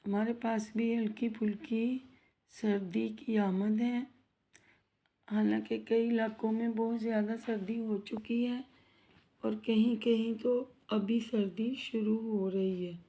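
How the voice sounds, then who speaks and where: Woman cooking-vlog host, sore throat, slow measured delivery, fairly high but flat voice, chatty with viewers. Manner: chatty, addresses viewers as guys, asks for subscribes. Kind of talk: casual cooking vlog narration. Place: home kitchen.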